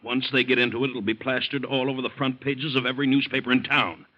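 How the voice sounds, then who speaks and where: An American actor in an old-time radio drama, playing an anxious, blustering middle-aged district attorney who is fretful and imperious. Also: male voice, medium pitch, level tone, fast talker, highs cut off, lightly acted.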